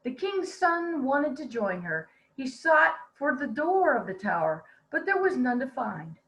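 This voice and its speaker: Woman, storybook narration for children, expressive, high-pitched, animated intonation. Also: measured pace, rough recording. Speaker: volunteer storybook reader. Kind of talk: expressive scripted fairy tale narration.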